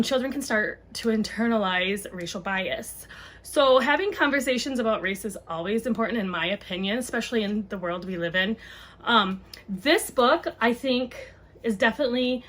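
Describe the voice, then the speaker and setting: A woman's voice: on the high side, fairly bright tone. A woman explaining and reading a children's book aloud in an informal, conversational way, often rephrasing as she goes.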